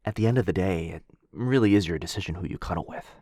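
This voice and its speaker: A man playing a shy, sweet, earnest dog-person roommate in an intimate boyfriend ASMR roleplay, speaking softly and hesitantly. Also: deep voice, dry recording, clean studio sound. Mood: confused, hurt. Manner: soft, hesitant, halting, bashfully rambling.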